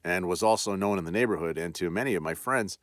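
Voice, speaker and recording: Hushed, courteous lord, regretful and measured; low pitch, a man doing the voice. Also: no room sound, natural voice. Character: sincere, regretful male lord and castle host.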